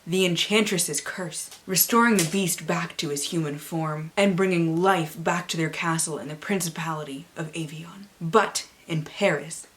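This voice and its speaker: Theatrical, excitable woman with a lowish voice. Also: moderate pace, few pauses, a little hiss or hum. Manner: excitable, theatrical, dramatic emphasis. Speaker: book reviewer and vlogger. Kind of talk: book haul vlog with dramatic blurb readings.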